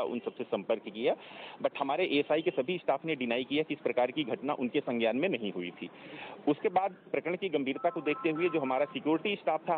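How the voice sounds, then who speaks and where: A middle-aged man giving a formal, measured official statement, mixing in some English words. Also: fairly high pitch. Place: outdoors at a monument walkway. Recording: tinny narrow sound, faint outdoor noise.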